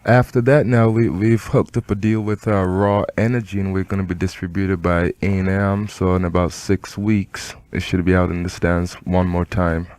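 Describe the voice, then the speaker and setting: A man with a Barbadian-Canadian accent, a rap artist talking in a radio studio interview, animated and emphatic, with frequent restarts. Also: low voice, moderate pace, hardly any pauses, smooth, dry recording. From Barbados, raised in Canada.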